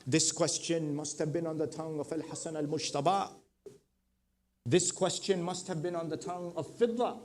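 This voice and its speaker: A middle-aged man giving a mourning sermon, telling a story in a voice that swells from quiet to loud with emphatic repetition. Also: a little high, fairly even intonation, moderate pace, a little hiss or hum. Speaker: preacher. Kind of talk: mourning sermon with narrated tradition.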